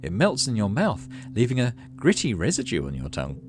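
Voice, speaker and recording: A man's voice: mid-range voice, wildly swooping pitch, fairly quick, over music. A man hosting a game playthrough, reading story text aloud expressively and adding casual asides. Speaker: gaming channel host.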